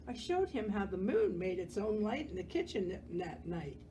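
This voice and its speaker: A woman reading a children's picture book aloud as a library storyteller, gentle and expressive, pausing to comment on the pictures. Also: medium pitch, dull audio, slight background noise.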